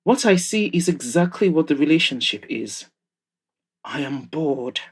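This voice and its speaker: Audio drama role: bored, restless male bachelor voiced by a narrator, medium pitch, discontented ladies' man. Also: lively intonation, unhurried, husky. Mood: weary, discontented. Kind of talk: audiobook-style character dialogue.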